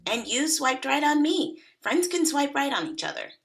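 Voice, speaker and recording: An actress at a table read playing a blunt woman in her thirties, with a dry, deadpan delivery. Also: high-pitched, normal speed, gravelly, full character voice. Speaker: actor playing Megan. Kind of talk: table read of a comedy pilot.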